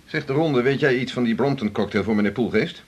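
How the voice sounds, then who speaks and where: An actor playing a domineering, condescending senior hospital doctor in a radio drama. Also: male voice, mid-range voice, a little fast, husky, lightly acted. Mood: falsely jovial, pointed. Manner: pointed, condescending, commanding. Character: domineering, prying male senior hospital doctor, needy underneath. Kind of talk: 1980s Dutch radio drama acting.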